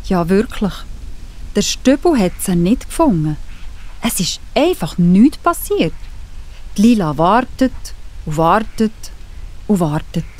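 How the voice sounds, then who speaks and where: A woman's voice: medium pitch, very animated, takes it slowly, long pauses, a little mellow. Swiss-accented woman narrating a children's story.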